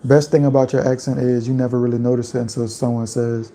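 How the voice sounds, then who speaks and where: A man from Louisiana with a Louisiana accent, chatting casually on a livestream and reading out viewer comments in a relaxed, rambling way. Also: medium pitch, fast, a little hiss or hum. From Louisiana, USA. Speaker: livestream host.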